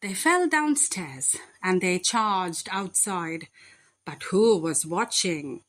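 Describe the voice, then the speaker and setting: A woman reading a rhyming children's bedtime story aloud in a deliberate, expressive, dramatic way. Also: on the low side.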